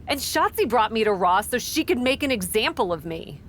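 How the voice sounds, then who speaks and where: A woman voicing a cocky young female wrestler in a game, breezy, flippant and quick to defend herself. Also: a little high, sing-song, a bit bright, slight background noise. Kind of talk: game cutscene dialogue.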